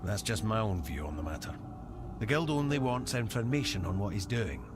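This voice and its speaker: An actor playing a cynical, shadowy guild member in a fantasy game, speaking in a measured, wry, secretive way. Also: male voice, fairly low pitch, sing-song, background noise. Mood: grave, wry. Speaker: quest giver in a fantasy game. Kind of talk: scripted fantasy game dialogue. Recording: music behind the voice.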